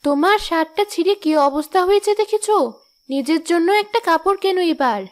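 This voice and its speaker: A woman voicing a caring wife and mother in a cartoon, calm and reassuring. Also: very high-pitched, a little fast. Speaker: narrator and mother.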